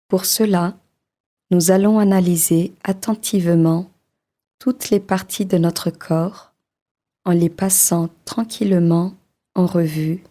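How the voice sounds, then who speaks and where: A woman leading a guided body-scan meditation in a slow, soft, soothing voice with long pauses. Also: lowish voice.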